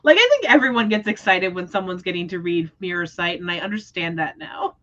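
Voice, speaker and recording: A woman hosting a casual book discussion livestream, talking in an animated, expressive way. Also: on the low side, a little fast, dull audio. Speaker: host of book readalong livestream.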